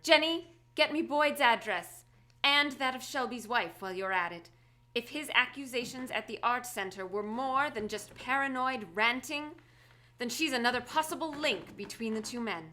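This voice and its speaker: A woman playing a brisk, decisive socialite turned masked vigilante in a live radio play. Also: fairly high pitch, bright, forceful tone. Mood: brisk, determined. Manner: brisk, commanding. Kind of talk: live radio theatre acting.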